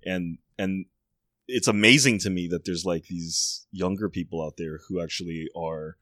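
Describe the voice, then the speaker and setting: A man's voice: sits low, normal speed, a bit bright, no room sound, clean studio sound. A man co-hosting a casual podcast, rambling and breaking off his own sentences. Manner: rambling, self-interrupting.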